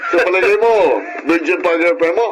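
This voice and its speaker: A cocky, chauvinistic young man, played by a male actor holds forth to his drinking pals in a radio drama. He has a slightly high voice and teases, over clear background noise. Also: a little fast, lightly acted. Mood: opinionated, goading. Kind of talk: radio drama barkada banter. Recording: noisy ambience behind radio drama.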